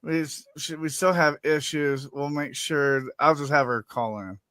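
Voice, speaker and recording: Male show host, high bright voice, loose stammery delivery, typing heard behind. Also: steady tone, unhurried, dry recording, studio quality. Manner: stammering, loose, swears casually. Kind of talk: casual podcast chat.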